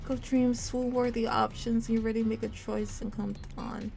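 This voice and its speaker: A woman's voice: on the high side, little pitch movement, moderate pace, creaky, darkish tone, faint music behind. A woman reading a game story aloud in a theatrical storytime style, shifting her voice between characters. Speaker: streamer reading a visual novel game aloud.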